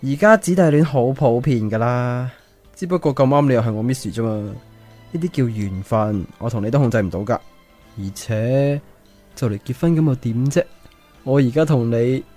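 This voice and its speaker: Casting: man as impulsive male student of seventeen, Hong Kong Cantonese, mid-range pitch, radio drama over soft music. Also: normal speed, lightly acted. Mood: cocky. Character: cocky, impulsive seventeen-year-old schoolboy in love with his teacher.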